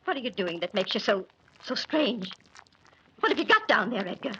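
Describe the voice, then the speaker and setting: A woman's voice: on the high side, sing-song, normal speed, sharp tone, exaggerated voice. An actress playing a worried middle-aged wife and mother who pleads with her husband, in 1940s radio drama style. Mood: pleading. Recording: muffled old radio recording with faint hiss.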